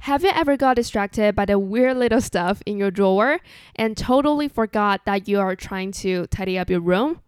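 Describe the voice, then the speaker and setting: A young woman with a Chinese accent hosting a solo podcast in an earnest, measured, relaxed way. English is not her first language. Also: mid-range voice, smooth, no room sound, clean studio sound. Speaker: podcast host.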